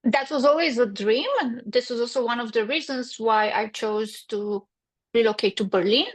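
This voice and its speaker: A woman's voice: on the high side, normal speed, poor audio. A woman from Romania with a Romanian accent, a company founder answering interview questions in a practical way with frequent restarts.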